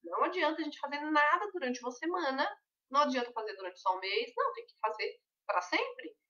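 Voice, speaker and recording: A woman guides a group through a mentoring session, her voice bright and fairly high. She explains patiently with everyday examples. The audio sounds distant and muffled, like an online call. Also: sing-song, fairly quick. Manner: conversational, reassuring, explains with examples. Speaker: weight-loss mentor.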